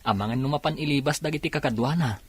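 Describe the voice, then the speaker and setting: A man's voice: a little high, fast, slightly rough. A man acting in a radio drama as an earnest, devoted young policeman.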